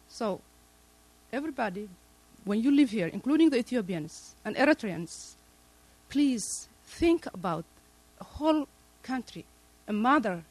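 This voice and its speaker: A man's voice: extremely high pitch, rough recording. Pleading man from Somalia. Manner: emotional, pleading, repetitive. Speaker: panelist. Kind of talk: impassioned appeal to an audience.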